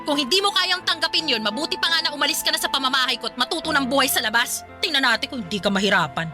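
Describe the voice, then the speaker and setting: A woman's voice: sits high, animated, very fast, over music. A woman voicing a stern, sarcastic older sister in a radio drama, scolding her younger brother. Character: stern, sharp-tongued older sister in her late twenties.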